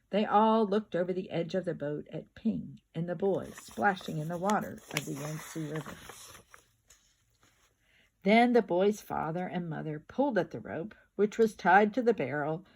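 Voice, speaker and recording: An older woman reading a children's picture book aloud like a grandmother, with expressive, drawn-out words and emphatic repetition. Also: lowish voice, a little hiss or hum.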